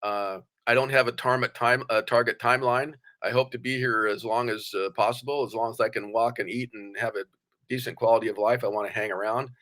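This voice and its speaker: A man's voice: mid-range voice, steady tone, fairly quick, fairly bright tone. An older man as a podcast guest, speaking bluntly and plainly, giving motivational advice in conversation. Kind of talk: conversational interview.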